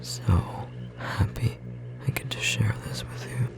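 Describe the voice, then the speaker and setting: A performer voicing a tender magical bookstore clerk in an ASMR roleplay, whispering softly and gently encouraging a beloved partner, with a slightly rough edge. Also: takes it slowly, loud music behind the voice, natural voice. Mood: gentle, guiding. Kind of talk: ASMR roleplay, intimate whispered second-person acting.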